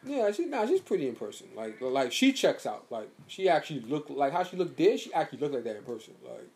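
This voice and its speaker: A man in his thirties in casual chat as a podcast co-host, talking in loose slangy bursts. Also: brisk. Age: 30s. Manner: laid-back slangy talk, lively pitch swings.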